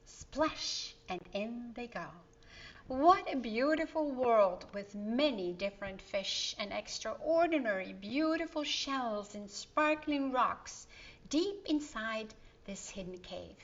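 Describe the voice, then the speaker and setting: Slow-paced woman reading a children's story. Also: on the high side, lively intonation, highs cut off. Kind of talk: expressive read-aloud children's storytelling, with asides to listeners.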